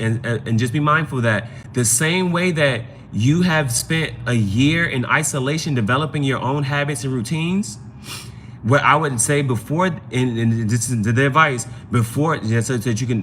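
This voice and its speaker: A man's voice: medium pitch, a bit bright, a little hiss or hum. A man hosting a casual live tarot reading, giving advice conversationally and often repeating his phrases. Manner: conversational, repeats phrases and adds "you know what I'm saying".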